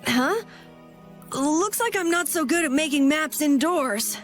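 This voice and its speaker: An actress playing a cheerful, chatty young mapmaker in a game skit. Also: female voice, high-pitched, a little slow, soft background music. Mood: bubbly. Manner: bubbly, rambling.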